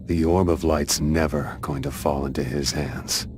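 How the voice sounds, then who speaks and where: A man acting a stoic warrior in a video game, speaking in a measured, earnest and resolute way. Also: rumbling low, fairly even intonation. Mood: grave, insistent. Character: deep-voiced stoic male warrior urging his comrade on. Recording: clear background noise and ambience.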